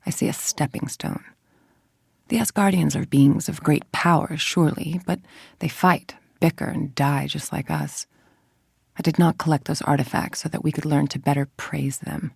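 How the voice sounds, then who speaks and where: An actress voicing a cold, calculating middle-aged villain scientist in a video game, with a clipped, controlled, authoritative and menacing delivery. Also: female voice, sits low, steady tone, moderate pace, gravelly, darkish tone, slightly rough, no room sound, clean studio sound, heavily acted. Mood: cold, measured.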